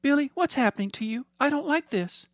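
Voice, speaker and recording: An anxious wife and mother from a comic audio story, acted out by a male narrator. She speaks high and with animated swings, exasperated and pleading. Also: fairly quick, no echo, clean studio sound. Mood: upset. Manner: emotional, pleading.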